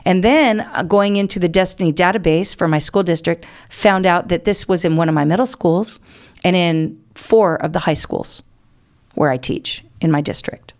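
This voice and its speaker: A woman's voice: lowish voice, normal speed, a little mellow. A middle-aged American woman answering as an interview guest, explaining things earnestly in a conversational way.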